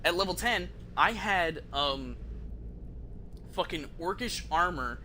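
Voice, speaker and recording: A man's voice: sits high, expressive, takes it slowly, bright, forceful tone, slight background noise. A man chatting casually and rambling through gameplay commentary as a streamer, conversational and prone to swearing. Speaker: video game streamer.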